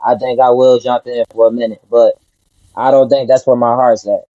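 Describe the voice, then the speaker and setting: A man's voice: medium pitch, level tone, normal speed, dark tone, no echo, muffled recording. A man from Detroit, a rapper, answering interview questions in a laid-back, earnest way. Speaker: rapper guest.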